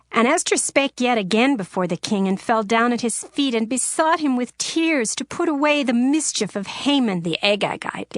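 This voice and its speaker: A woman narrating scripture in a steady, formal storytelling cadence. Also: medium pitch, no room sound, studio quality. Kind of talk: dramatized scripture reading. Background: soft music.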